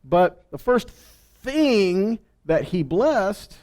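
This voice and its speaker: A man with a high-pitched, very lively voice teaches scripture in an informal sermon. He speaks emphatically, swinging widely in pitch, and often puts short rhetorical questions to his listeners. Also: very slow. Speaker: preacher and Torah teacher.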